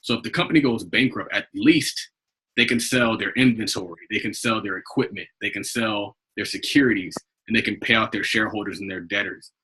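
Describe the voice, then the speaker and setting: An American man with a husky voice leading an informal investment club call, talking in a rambling, conversational way. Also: fairly low pitch, fairly quick, bright, forceful tone, low-quality recording. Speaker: investment club leader hosting a conference call. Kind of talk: informal group call talk, rambling updates. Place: online group video call.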